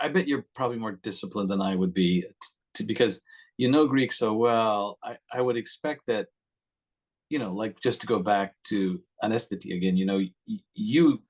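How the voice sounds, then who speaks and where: A man hosting a conversational interview, putting questions in a halting, roundabout way. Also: on the low side. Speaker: interviewer, host.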